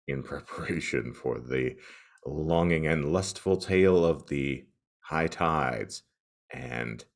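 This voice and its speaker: A man hosting a comedy podcast, reading out material with theatrical, drawn-out delivery. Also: rumbling low, creaky. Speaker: podcast host. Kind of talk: comedic dramatic reading of online reviews.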